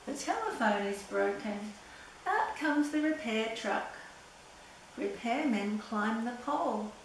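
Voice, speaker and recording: An older woman reading a children's picture book aloud in a deliberate, playful storytelling way. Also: fairly high pitch, animated. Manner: simple, deliberate reading with playful sound words. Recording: very echoey room, distant mic, background noise.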